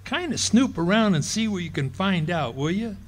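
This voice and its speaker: Brief: male actor as a flustered boastful husband, folksy stammer, high and animated. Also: moderate pace. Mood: scheming. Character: bumbling boastful husband, middle-aged, fibbing. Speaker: amateur radio play actor. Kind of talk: live stage radio play reading. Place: ballroom stage before an audience.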